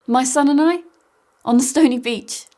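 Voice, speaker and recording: A woman's voice: high voice, moderate pace, darkish tone, lightly acted. An actress playing a tender, wonderstruck mother remembering her child in a stage monologue. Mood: tender wonder.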